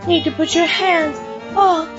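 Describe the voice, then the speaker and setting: Sultry robot temptress, high and slow. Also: female voice, fairly even intonation. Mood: seductive. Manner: sultry, flirtatious, drawn-out. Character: seductive, brazen female robot temptress, catty and scheming. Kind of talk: comic radio sci-fi drama acting. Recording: dull lo-fi audio with music bed.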